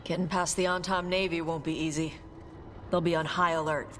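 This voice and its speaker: An actress playing an earnest, practical companion in a fantasy video game. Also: female voice, low-pitched, flat delivery, brisk, a bit bright, a little hiss or hum. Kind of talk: cinematic video game voice acting.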